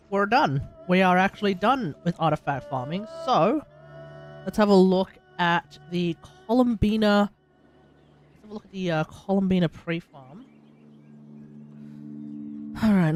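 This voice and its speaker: The man on a late-night game stream, talking himself through his to-do list in a high voice over faint game music. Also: animated, a little slow, pauses often. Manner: rambling, thinking aloud. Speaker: livestream host. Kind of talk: casual gaming livestream chat.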